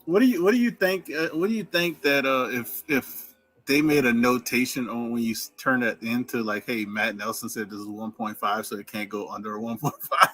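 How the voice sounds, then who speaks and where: A man joining a casual live stream, telling stories in an animated way and laughing easily. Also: medium pitch, a little fast, soft background music.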